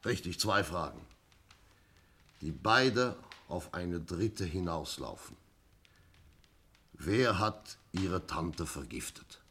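An actor playing a stern, deliberate police inspector who speaks formally and probingly, in a German 1960s radio play. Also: male voice, low-pitched, expressive, background noise. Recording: slightly dull 1960s radio recording.

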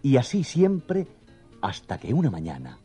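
A man narrating a scripted children's story in an expressive, theatrical storytelling manner. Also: medium pitch, unhurried, husky, soft background music.

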